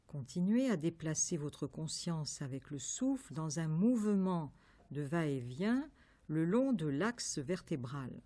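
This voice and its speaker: A woman leading a guided yoga meditation, giving calm, measured instructions with pauses between phrases. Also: low voice, no room sound. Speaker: yoga nidra meditation teacher.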